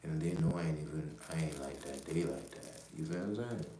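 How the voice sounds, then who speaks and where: A man from Jamaica with a very deep, dark, flat voice rambles through a profane livestream monologue. He leans on slang and keeps checking in with his listeners. The sound is roomy and echoey, with a faint hiss underneath. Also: brisk. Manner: rambling, profane, streetwise, repeats "you know what I'm saying". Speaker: livestream host.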